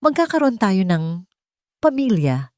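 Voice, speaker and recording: A woman storyteller voicing a tender, emotional husband and expectant father in a soft, earnest way. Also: mid-range voice, very animated, unhurried, no echo, studio quality. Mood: moved, joyful.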